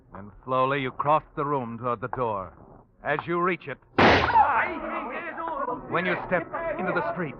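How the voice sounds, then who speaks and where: An actor as ominous American radio suspense narrator, phone-like sound. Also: male voice, fairly high pitch, very noisy, lightly acted. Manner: dramatic, deliberate. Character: ominous, all-knowing storyteller of a suspense tale. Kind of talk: 1940s radio suspense narration in second person. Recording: old radio, phone-like band, music behind.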